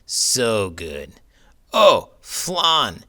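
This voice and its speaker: A man's voice: medium pitch, wildly swooping pitch, very slow, sharp tone, voice pushed up, heavily acted. A man voicing a blunt, food-loving old cowboy sidekick in comic banter on a children's show. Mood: dreamy, hungry. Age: older.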